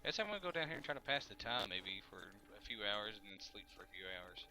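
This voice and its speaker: Man, Kentucky accent, easygoing game commentary, sharp bright tone, faint noise behind. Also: lowish voice, sing-song, fast talker, low-quality recording. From Kentucky, USA. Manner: easygoing, mutters to himself while playing, answers chat. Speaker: gaming livestreamer. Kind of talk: casual gameplay commentary, chatting with viewers.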